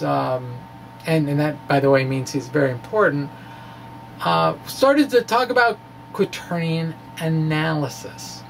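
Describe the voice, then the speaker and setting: A man giving an informal, animated explainer of his own material, with wry asides and a gravelly edge to his voice. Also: high-pitched, slight background noise, poor audio. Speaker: physics blogger presenting his own puzzles. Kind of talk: informal explainer, reading and discussing a blog.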